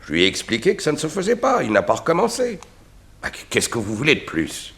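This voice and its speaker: An actor playing a shrewd, self-serving scientist and secret doper in a 1960s French radio drama, articulate, emphatic and self-possessed. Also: male voice, fairly high pitch, huge pitch swings, a little fast, vocal fry, a bit bright, slightly rough, heavily acted. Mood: placating. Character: shrewd, self-serving male scientist who secretly makes horse dope.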